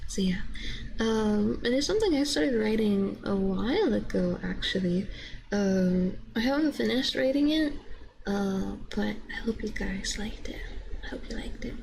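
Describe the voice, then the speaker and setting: A woman streamer chatting casually and hesitantly with her live viewers in a soft-spoken, giggly way. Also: medium pitch, measured pace, creaky, a little hiss or hum, low-quality recording. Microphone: binaural ASMR microphone.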